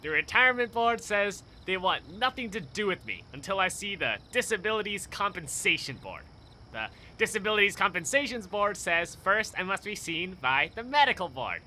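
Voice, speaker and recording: A man's voice: high-pitched, sharp tone, a little hiss or hum, heavily acted. A man playing a bitter wounded war veteran in a radio play, complaining with indignant sarcasm.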